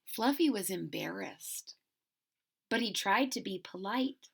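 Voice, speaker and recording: A woman reading a children's storybook aloud with expressive, dramatic emphasis and chatty asides to young listeners. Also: mid-range voice, slow pace, gravelly, slightly rough.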